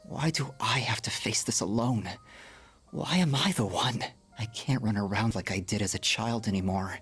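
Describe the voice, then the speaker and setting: A man voicing a frail, dying young man in a video game, weary, breathy and halting, with melancholy resignation. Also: on the low side. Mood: weak, despairing. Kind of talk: English video game dub acting, dramatic monologue.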